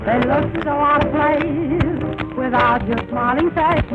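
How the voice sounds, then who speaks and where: High-voiced woman singing on vintage radio. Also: takes it slowly. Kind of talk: 1930s radio singing and sign-off. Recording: muffled 1930s radio broadcast, music behind.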